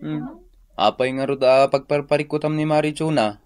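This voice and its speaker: A man's voice: on the high side, steady tone, normal speed, a little mellow, smooth, natural voice. A man playing a concerned, inquisitive friend in an Ilocano radio comedy drama, probing with questions in an Ilocano accent. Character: concerned male buddy, inquisitive and sympathetic.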